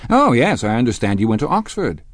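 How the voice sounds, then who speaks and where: A man voicing a pompous, blustering husband in an audiobook, incredulous, scornful and quick to snap. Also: medium pitch, sing-song, no echo, clean studio sound, pitched above the performer's own voice. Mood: suspicious, insulting. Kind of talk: audiobook character dialogue.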